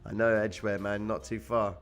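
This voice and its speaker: Young male livestream host, very low voice, slangy and relaxed, faint music behind. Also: moderate pace. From Cyprus. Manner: laid-back, slangy, answering chat. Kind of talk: casual livestream chat reading viewer comments.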